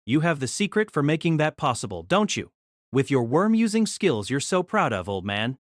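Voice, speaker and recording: Casting: male actor for embittered rebellious son, fairly high voice, swinging intonation, intense dramatic audiobook confrontation. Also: big pitch swings, normal speed, no room sound, studio quality. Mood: defiant. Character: defiant, bitter younger man confronting his evil father.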